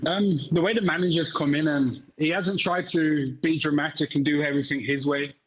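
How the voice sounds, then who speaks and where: A man, a former defender, giving football analysis on a studio panel, speaking haltingly with frequent restarts. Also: on the high side, steady tone, poor audio. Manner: halting, many restarts and fillers.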